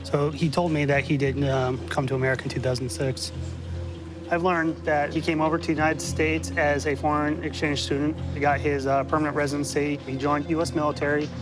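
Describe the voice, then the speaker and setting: A border officer, a man with a slightly raised pitch, talks quickly and briskly while questioning and briefing on a case. He stays businesslike throughout, with music playing behind him. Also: little pitch movement, low-quality recording. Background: background music.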